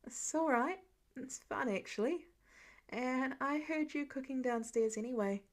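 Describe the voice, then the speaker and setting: Mild teenage boy for story audio, read by a woman: soft, sleepy, gentle, sweet-natured. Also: high voice, unhurried. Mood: sleepy, content. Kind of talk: storybook-style character reading.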